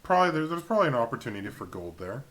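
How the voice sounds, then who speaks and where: A man's voice: medium pitch, sing-song, very fast, fairly bright tone. A man casually recapping a tabletop game as a co-host, in an informal, matter-of-fact way. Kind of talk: casual chat and recap narration.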